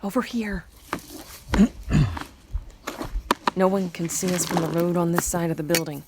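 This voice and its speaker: Woman doing a folksy killer showing a rookie the ropes, audio drama, calm n matter-of-fact, noisy. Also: fairly low pitch, measured pace, close to an everyday voice. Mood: conspiratorial. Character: folksy, cold-blooded killer mentoring a squeamish rookie.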